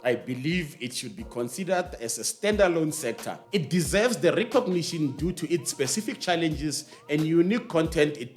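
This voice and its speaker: A man with a South African accent hosting a scripted explainer, measured and stressing key phrases. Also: mid-range voice. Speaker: host of a series on radio.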